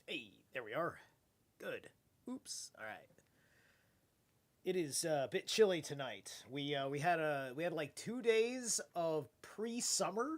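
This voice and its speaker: Slow, stuffy-nosed man rambling on stream. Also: fairly high pitch, expressive. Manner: rambling and loose, with casual swearing. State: stuffy, congested nose; tired. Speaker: live streamer. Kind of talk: casual late-night stream chat.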